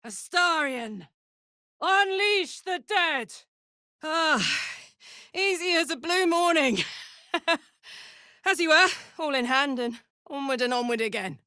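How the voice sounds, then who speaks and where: A brash, big-hearted warrior woman from the hells for a video game. Her delivery is slow but highly animated, swinging widely in pitch. She is blunt and sweary yet wears her feelings openly, and the recording is close and dry. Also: no echo, exaggerated voice. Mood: excited battle cries. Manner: earthy, blunt, sweary, emotionally open. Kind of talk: video game character voice lines.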